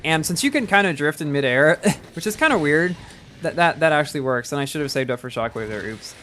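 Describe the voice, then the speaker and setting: A man's voice: on the high side, animated, brisk, sharp tone, slight background noise. A man in his early twenties chatting casually while livestreaming a game and reading chat, rambling and often repeating words as he restarts. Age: early 20s. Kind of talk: casual live-stream chat.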